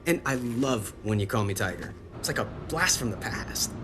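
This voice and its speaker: A man's voice: medium pitch, lively intonation, normal speed, music in the background, lightly acted. A man playing a young, nerdy, wisecracking and nervous superhero in a video game. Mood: awkward, affable. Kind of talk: video game cutscene acting.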